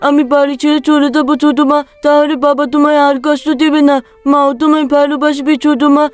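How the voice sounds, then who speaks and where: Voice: sits high, completely flat delivery, fast, soft background music, natural voice. An actor voicing a sad, self-blaming little village boy in a cartoon story, plaintive and sulky. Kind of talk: melodramatic cartoon story acting.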